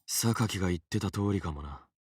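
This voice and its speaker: A man's voice: low-pitched, fast talker, a little hiss or hum, natural voice. An actor playing a blunt, distrustful younger man in a Japanese visual novel, cool and accusatory. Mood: accusing.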